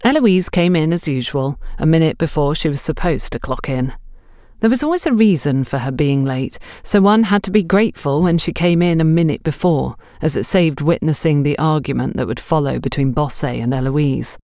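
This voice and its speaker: A woman's voice: sits low, moderate pace, warm tone, no echo. Woman narrating a story, dry close sound. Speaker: audiobook narrator. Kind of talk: scripted mystery story narration.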